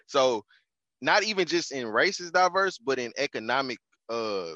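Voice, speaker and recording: Dry dull recording, young man, medium pitch, laid-back slangy podcast guest. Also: lively intonation, moderate pace, pushed and bright, no echo. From Houston, Texas. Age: 20s. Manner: laid-back, slangy, restarts and repeats phrases. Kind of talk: casual conversational interview.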